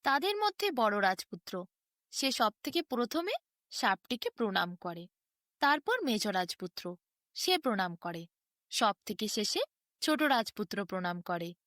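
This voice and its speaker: A woman's voice: mid-range voice, big pitch swings, a little slow, a bit bright, no echo, clean studio sound. A woman narrating a fairy tale as a bedtime story, with a sing-song storytelling delivery. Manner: sing-song storytelling with wide rises and falls.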